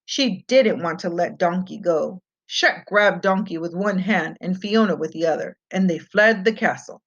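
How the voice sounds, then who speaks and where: A woman reading a children's fairy tale aloud as an expressive storyteller. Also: on the low side, normal speed. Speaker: storybook reader. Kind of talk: scripted children's read-aloud narration. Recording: muffled, dull lo-fi home recording.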